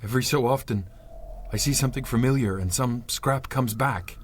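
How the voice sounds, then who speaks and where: A man's voice: medium pitch, moderate pace, a little hiss or hum, close to an everyday voice. An actor playing an earnest, protective amnesiac man with formal, hesitant phrasing in a 1930s-style adventure radio drama. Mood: earnest resolve.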